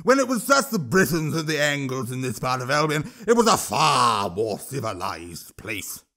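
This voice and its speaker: Man voicing a bigoted troll minister, mocking, shouting, raised pitch, lively rise and fall. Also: normal speed. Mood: ranting. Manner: jeering, shouting over a crowd.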